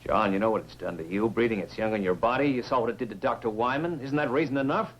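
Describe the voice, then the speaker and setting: A man's voice: medium pitch, fast, muffled recording, natural voice. An American actor playing a stern, pragmatic man who takes command, in 1950s science fiction film style. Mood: firm, urging. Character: stern, pragmatic man leading a monster hunt. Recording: hissy, humming 1950s film soundtrack.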